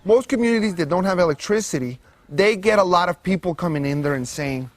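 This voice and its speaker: A young man with a slightly raised pitch speaks in a documentary interview. He explains things in an earnest, measured way. He co-founded a solar startup and is from Guatemala City. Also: animated, no room sound. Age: young adult.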